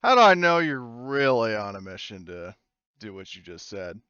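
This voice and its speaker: A man's voice: on the low side, sing-song, moderate pace, sharp tone, smooth, no room sound, muffled recording. A man playing a wary, noncommittal male pharmacy clerk in a tabletop game, speaking hesitantly. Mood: guarded.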